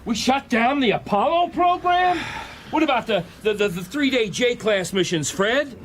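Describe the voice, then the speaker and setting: An actor playing a blunt, hot-tempered American astronaut colonel in a radio drama, indignant and given to swearing. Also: male voice, extremely high pitch, lively intonation, unhurried, slight background noise. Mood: agitated.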